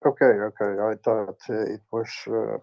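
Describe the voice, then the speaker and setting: A man's voice: on the low side, fairly even intonation, moderate pace, creaky. A man chatting casually as a participant in a news discussion, heard over dull, lo-fi audio. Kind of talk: casual chat.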